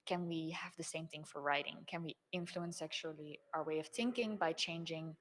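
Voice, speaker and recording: Dutch-born young woman, low, thoughtful. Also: moderate pace, fairly bright tone, rough recording. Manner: thoughtful, searching for words. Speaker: designer, interviewee. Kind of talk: relaxed conversational interview.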